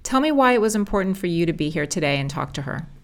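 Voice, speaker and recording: A woman from Los Angeles hosts a casual interview. Her voice sits quite low, and she talks in a loose, chatty way with plenty of fillers. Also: big pitch swings, quick pace. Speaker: podcast host.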